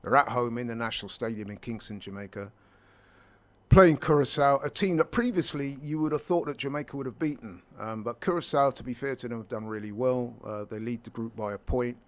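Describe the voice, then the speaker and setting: A man co-hosting a casual sports chat, speaking in a measured, emphatic way and repeating words for stress. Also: mid-range voice. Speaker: sports pundit co-host.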